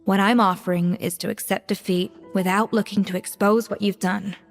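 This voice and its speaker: An actress playing a proud, principled young female head chef in a game, speaking with earnest, measured restraint. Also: mid-range voice, steady tone, vocal fry, faint music behind, natural voice. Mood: resigned, earnest. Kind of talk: dramatic game voice acting.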